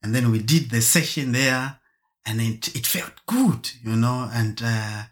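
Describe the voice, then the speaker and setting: A man who grew up in Germany, talking as a musician guest in an interview, halting with fillers and restarts and laughing now and then. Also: mid-range voice, expressive. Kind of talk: casual storytelling interview.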